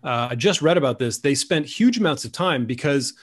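Animated man telling stories on video call. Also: medium pitch, a little fast. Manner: animated, gesturing, many restarts. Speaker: guest author. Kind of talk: video call conversation, storytelling. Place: room at home.

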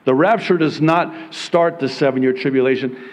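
A middle-aged man whose first language is Arabic, preaching on prophecy with slow, deliberate emphasis and dramatic rises when quoting scripture. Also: mid-range voice, in a large hall, muffled recording. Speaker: pastor. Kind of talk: prophecy sermon.

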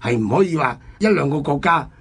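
Husky higher-pitched Hong Kong man. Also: animated, brisk, fairly bright tone. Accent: Hong Kong Cantonese. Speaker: radio co-host. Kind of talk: conversational history storytelling.

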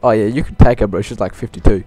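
A man's voice: fairly low pitch, fairly even intonation, fast talker, mellow. A young Australian man trash-talking other players in online game chat, teasing and laughing through his insults. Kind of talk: casual game-chat banter. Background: game music.

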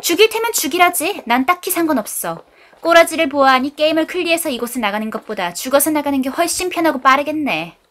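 A woman's voice: sits high, lively intonation, fast talker, fairly bright tone. A woman voicing a hostile young woman in an amateur audio drama, cursing and talking with sarcastic contempt. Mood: contemptuous.

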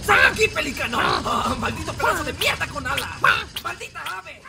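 An explosive cartoon foreman, acted by a man, ranting at the top of his range. The voice is high and swings wildly, with music behind it. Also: sing-song, moderate pace, gravelly, heavily acted. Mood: furious. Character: furious male factory foreman cursing at birds. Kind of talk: cartoon dub.

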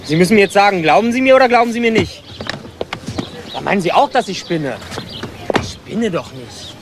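A young homeless petty crook in a crime drama, nervous and defensive. The voice is fairly high and agitated, stumbling as it pleads. There is faint background noise. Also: male voice, lively intonation, fairly quick. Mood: pleading, agitated. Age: young adult. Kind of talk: German TV crime drama acting.

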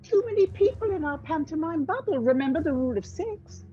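A woman's voice: very high voice, expressive, fairly quick, music in the background. Woman playing a swooping, sneering pantomime villainess. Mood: bossy, arch. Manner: arch, sneering, theatrical. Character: mean, mocking female pantomime villain. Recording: slightly dull video-call audio.

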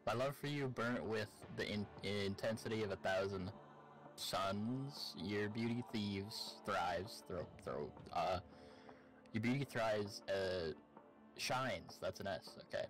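A man's voice: fairly low pitch, slow pace, faint music behind, low-quality recording. A man talking casually as a co-op game partner, describing things as he plays. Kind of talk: casual chat, describing puzzle symbols.

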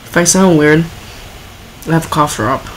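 A woman's voice: deep voice, moderate pace. Woman reacting casually, noisy background. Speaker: reaction video host. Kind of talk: casual reaction commentary, reading text aloud.

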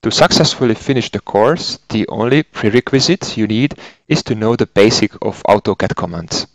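A man in his forties with a Hungarian accent delivers scripted instructional narration as a trainer. His voice sits fairly low. He explains things methodically, recorded close and very dry with no room sound. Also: animated, normal speed, no room sound, low-quality recording. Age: 40s. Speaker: software trainer and consultant engineer.

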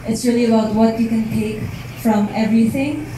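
A woman's voice: fairly high pitch, steady tone, moderate pace, dark tone. A young woman raised in the States, a pageant candidate answering press questions into a handheld mic in a large hall, poised and earnest. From United States. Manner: poised, earnest, mixes in Filipino words. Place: large event hall indoors. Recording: echoey hall, hum of air conditioning.

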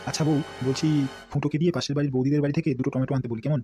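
A man's voice: medium pitch, fairly even intonation, very fast, soft background music, lightly acted. A man doing a swearing, hot-tempered cartoon dad. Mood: grumbling. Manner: crude, grumbling, shouts threats. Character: foul-mouthed hot-tempered middle-aged father. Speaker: voice actor. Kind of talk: comic cartoon voice acting. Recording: noisy homemade recording.

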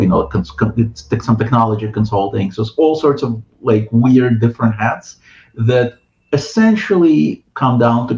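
A middle-aged man from Ukraine with a Russian accent, a guest on a video call, talking thoughtfully with many filler pauses. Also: on the low side, gravelly, darkish tone, lo-fi sound. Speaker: guest, writer and translator. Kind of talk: casual interview talk. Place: home room with bookshelves.

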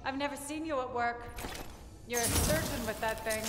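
An actress playing a gentle, reassuring, devoted partner in a dramatic video game. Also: female voice, fairly high pitch, moderate pace, heavy background noise, lightly acted. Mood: tender, reassuring. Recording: reverberant hall, music behind.

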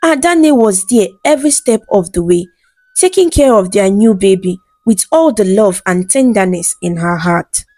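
A woman narrating a scripted folktale in a measured, dramatic storytelling manner. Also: medium pitch, animated, mellow, no echo.

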